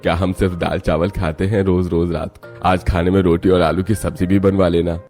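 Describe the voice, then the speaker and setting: A man voicing a calm older father-in-law in a family cartoon, unhurried and reassuring. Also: low voice, faint music behind, voice pushed down. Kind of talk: Hindi family cartoon voice acting.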